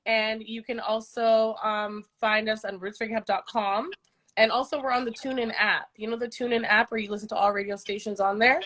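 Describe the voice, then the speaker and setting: A woman's voice: a little high, level tone, a little fast, fairly bright tone. A woman radio host talking casually on a live stream, informative and listing details.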